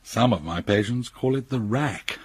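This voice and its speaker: A jovial, patronising doctor at a health clinic in a radio play. His voice is husky and swings in pitch, recorded close and dry. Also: animated, moderate pace, dry recording. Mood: breezy, reassuring. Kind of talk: radio drama acting.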